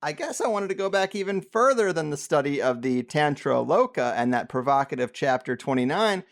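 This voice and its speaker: A man hosting a podcast talks in a slightly higher-than-usual voice. His questions come out casual and conversational, leading into a guest's story. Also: very animated, fairly quick. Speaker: podcast host. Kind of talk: interview conversation.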